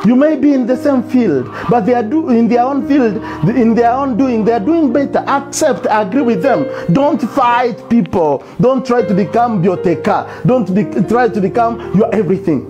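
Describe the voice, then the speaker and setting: Male pastor, 40s, fairly high voice that swings widely in pitch, music audible behind him. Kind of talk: sermon. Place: indoor studio set before a plain wall. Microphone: lapel mic.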